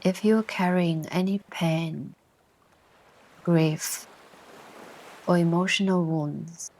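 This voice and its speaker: A woman narrating a guided meditation in a soothing, gentle way, with long pauses between short instructions. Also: on the low side, steady tone, slight background noise. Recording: ocean surf sounds behind the voice. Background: ocean waves ambience.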